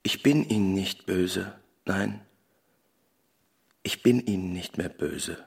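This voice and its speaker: An actor playing a broken, forgiving widower in a radio drama, halting and subdued, with a husky, gravelly voice. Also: male voice, low-pitched, full character voice. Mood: resigned sorrow. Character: broken, forgiving widower, resigned and grief-worn.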